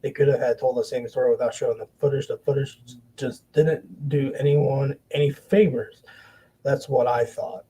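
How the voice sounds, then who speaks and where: Podcast guy, husky mellow voice, blunt and swears a lot, keeps restarting sentences. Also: mid-range voice, steady tone, poor audio. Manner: blunt, profane, halting restarts. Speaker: wrestling podcast host. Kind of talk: casual commentary.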